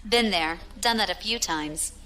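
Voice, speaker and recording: A woman's voice: mid-range voice, a little slow, pushed and bright, poor audio. An actress playing a battle-hardened, proud young female pirate captain with a wry storytelling manner in a science fiction audio drama. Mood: wry, nostalgic.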